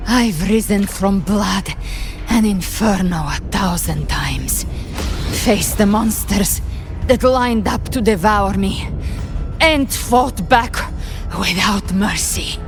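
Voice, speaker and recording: A proud young female warrior in a dramatic video game. The delivery is slow, blunt and defiant, at a middling pitch, with music clearly playing behind. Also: expressive. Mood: fierce, resolute.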